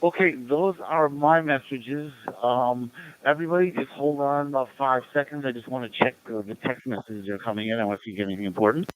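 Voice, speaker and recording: A man acting as net control on a community radio net, giving informal announcements and roll calls in a halting, procedural way. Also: medium pitch, no room sound, rough recording.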